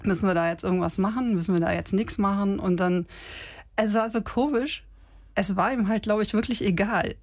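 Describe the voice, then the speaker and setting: A woman in her fifties co-hosting a casual podcast, telling a story in a lively way with fillers and short pauses. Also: medium pitch, dry recording, clean studio sound. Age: 50s. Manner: animated retelling with many fillers. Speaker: podcast co-host.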